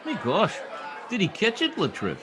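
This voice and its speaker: An actor playing a boastful, folksy small-town American husband in an old-time radio comedy, with cocky, slangy, ungrammatical talk. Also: male voice, high voice, wildly swooping pitch, moderate pace, crowd noise, noisy background. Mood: excited.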